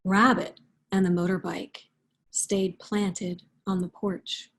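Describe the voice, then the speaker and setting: A woman with a soft, breathy voice, speaking in a thoughtful, unhurried manner as a picture book author. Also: medium pitch, level tone. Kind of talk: read-aloud storytelling and reflective interview talk.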